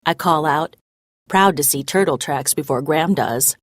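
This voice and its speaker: A woman narrating a children's story aloud in an expressive, measured way. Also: low-pitched, no room sound, clean studio sound.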